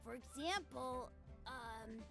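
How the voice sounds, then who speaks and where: An actress voicing a cheerful, innocent little child in a video game, with a childlike voice and guileless manner. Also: female voice, sits high, takes it slowly, gravelly, heavily acted. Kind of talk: scripted game dialogue. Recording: music behind the voice.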